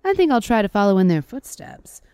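A woman's voice: medium pitch, sing-song, brisk, dark tone, smooth, no room sound, clean studio sound, voice pushed up, close to an everyday voice. Woman voicing a cunning, mock-grave rabbit trickster. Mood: musing.

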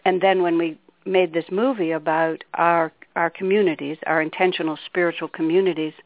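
An older woman spiritual teacher as a guest on a radio interview, calm and articulate, telling a story in a measured way. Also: on the low side, dry recording. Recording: dry, tinny phone line.

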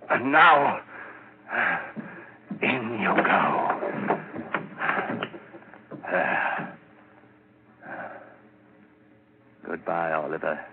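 On a narrow, faintly hissy vintage radio recording, a guilt-ridden murderer in melodramatic horror style. His voice swings widely in pitch, halting and stammering as he tries to hide his crime. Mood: grim, frantic. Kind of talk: 1940s radio horror drama acting. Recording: scratchy, narrow-band old radio recording.

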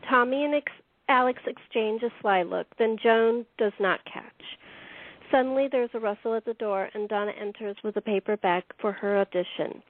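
A woman narrates a scripted radio play in a fairly high voice. She reads out scenes and stage directions as the narrator and host. The sound is thin and phone-like. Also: moderate pace. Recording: echoey room, tinny phone-like sound.

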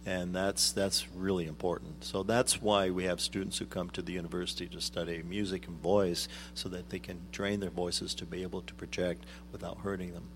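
Deep-voiced man explaining calmly. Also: a little hiss or hum. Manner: measured, explanatory, many ums. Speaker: guest, arts executive director. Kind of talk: radio interview.

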